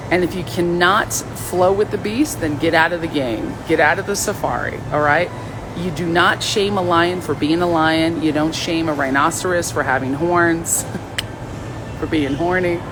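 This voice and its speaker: A woman with a raspy voice hosting a live stream, chatting casually and reading viewer comments in a blunt, outspoken, playful way. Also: lowish voice, noisy background.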